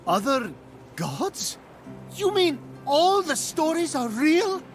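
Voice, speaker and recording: A high, expressive young male voice over music, playing an earnest game hero. He speaks slowly and sincerely with a wide-eyed quality. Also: full character voice. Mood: incredulous. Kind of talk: dramatic video game character acting.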